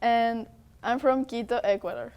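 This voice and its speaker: A woman's voice: on the high side, steady tone, normal speed, gravelly, darkish tone, clean tone. Teenage girl, short simple answers. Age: teens. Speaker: youth dancer.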